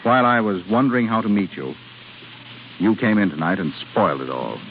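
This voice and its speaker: An actor playing an ardent, possessive suitor in a 1930s radio drama, speaking in an impassioned, insistent, theatrical way. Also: male voice, fairly low pitch, big pitch swings, fairly quick. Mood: wounded. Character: ardent, possessive male suitor pleading his love. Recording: tinny crackly 1930s radio broadcast, music behind.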